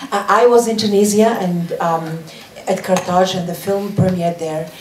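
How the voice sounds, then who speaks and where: A woman in the audience tells of an experience in a warm, mellow voice, using some fillers. She is picked up distantly, with the echo of a large cinema around her. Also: on the low side, fairly even intonation, normal speed, a little hiss or hum. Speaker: audience member. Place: cinema auditorium. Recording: echoey hall from a distant mic.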